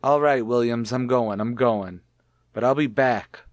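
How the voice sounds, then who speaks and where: A man's voice: mid-range voice, measured pace, no echo, natural voice. Guy playing pushy accusing husband, radio drama, confronting a rival, dry sound. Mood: accusing, heated. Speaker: actor. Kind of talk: radio mystery drama acting.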